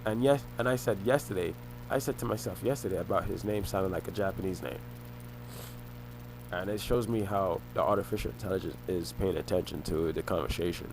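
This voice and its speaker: A young man rambles on in a halting way, circling back and restarting his sentences as he talks. His voice sits on the low side. A faint hum runs under the recording. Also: rough recording. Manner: halting, repeats and restarts phrases. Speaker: vlogger. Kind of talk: rambling personal monologue.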